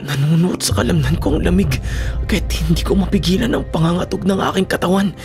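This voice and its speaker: A man's voice: high-pitched, fairly quick, creaky, music in the background. An actor in a Filipino radio drama playing a frightened, indignant young man held captive, speaking with anguished, earnest drama. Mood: shivering, fearful. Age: young adult.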